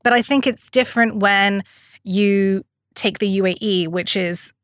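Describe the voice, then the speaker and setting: A woman's voice: mid-range voice, measured pace, a bit bright, no echo. Woman, from the Emirates, young adult, interview guest, hesitant and reflective, dry close sound. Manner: thoughtful, hesitant, many fillers and restarts.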